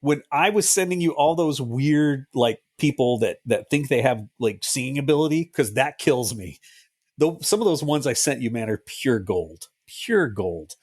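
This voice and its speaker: A middle-aged man co-hosting a podcast, talking loosely with jokes and curses. His voice sounds husky and a little rough. He sits at a middle pitch and talks in easy bursts. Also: animated, moderate pace, a little mellow. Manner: jokey, slips into silly mock voices and swears. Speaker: podcast co-host. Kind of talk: casual chat.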